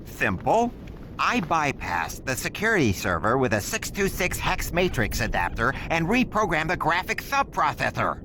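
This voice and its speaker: A man's voice: fairly high pitch, wildly swooping pitch, normal speed, pushed and bright, slight background noise, heavily acted. An actor playing a pompous, touchy nerd repairman in a video game, talking in a pedantic, indignant way. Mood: smug. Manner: pedantic, jargon-heavy, indignant. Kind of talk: video game cutscene acting.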